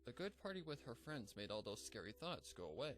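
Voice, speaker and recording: A man narrating a fanfic as a measured, somber scripted reading. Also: medium pitch, very animated.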